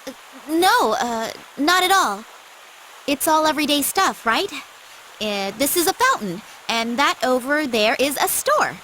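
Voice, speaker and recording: A woman voicing an earnest, girlish android who explains things gently, in an English anime game dub. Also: sits high, sing-song, unhurried, a little hiss or hum, heavily acted, rough recording. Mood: flustered.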